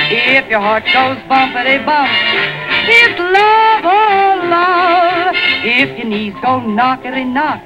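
Playful woman singing high over loud music. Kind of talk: 1940s novelty pop singing. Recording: muffled vintage radio, loud band. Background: musical accompaniment.

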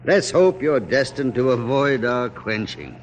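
An actor playing a weary, wry, middle-aged general in a formal, measured classic radio drama style. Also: male voice, medium pitch, lively intonation, muffled recording, faint music behind, natural voice. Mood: reflective. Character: weary, dignified American general of forty-five, dryly wry. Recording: noisy old radio recording.

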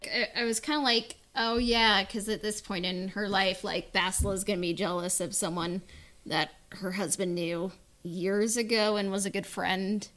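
A woman's voice: mid-range voice, fairly even intonation, moderate pace, a bit bright. A woman in casual discussion as a podcast panelist, giving opinions in a hesitant, hedging, informal way. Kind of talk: casual chat, opinion on a book.

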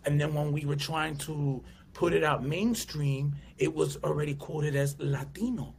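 Casting: gravel-voiced guy in his thirties, animated storyteller slipping into quoted voices, slightly higher pitch, faint background hiss. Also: a little slow. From USA. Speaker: guest comedian and actor. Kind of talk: casual interview chat.

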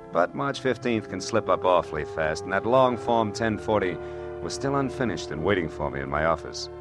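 An American man playing a tough, wry, world-weary private detective in 1940s radio noir, with clipped delivery. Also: low-pitched. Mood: reflective, wry. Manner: hard-boiled, wry, clipped. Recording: vintage 1940s radio broadcast with music.

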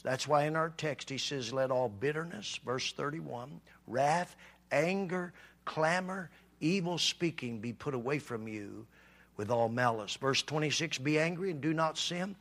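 A man preaching a sermon, swinging from quiet talk to loud, shouted passages. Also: mid-range voice. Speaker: pastor.

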